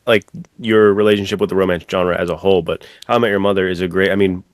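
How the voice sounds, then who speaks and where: A man's voice: low-pitched, fairly even intonation, quick pace, dry recording. A man co-hosting a podcast, chatting casually with an informal, enthusiastic, bro-ish manner. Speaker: podcast co-host.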